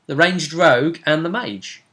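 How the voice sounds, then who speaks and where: Bright-voiced man explaining a game session. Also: on the high side, a little slow. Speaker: game master recapping a tabletop role-playing session. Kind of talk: casual recap discussion.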